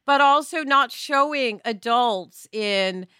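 A middle-aged woman co-hosting a podcast in casual discussion, chatty and emphatic in an animated way. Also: a little high, slow, clean tone, no room sound, clean studio sound. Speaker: podcast co-host.

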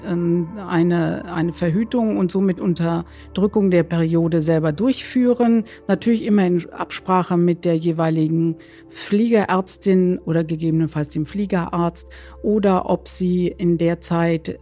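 A woman's voice: lowish voice, mellow. A woman giving explanatory interview answers as an expert, hesitant and searching for words. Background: background music.

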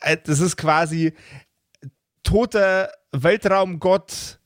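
A man chatting casually as a podcast game master, halting and often restarting words as he searches for phrasing. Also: high voice, fairly even intonation, no room sound, clean studio sound. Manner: halting, searching for words, repeats word starts. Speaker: game master of an actual-play role-playing podcast.

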